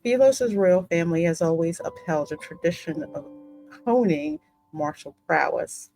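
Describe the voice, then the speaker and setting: A woman's voice: fairly low pitch, expressive, moderate pace, warm tone, low-quality recording. A woman hosting a gaming video, narrating story text and adding casual asides, with music behind her. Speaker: gaming channel host. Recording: music under the voice.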